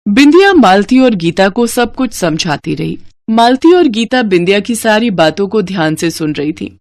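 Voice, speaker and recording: A woman's voice: mid-range voice, lively intonation, moderate pace, darkish tone, dry recording. A woman narrating a scripted moral story as storyteller.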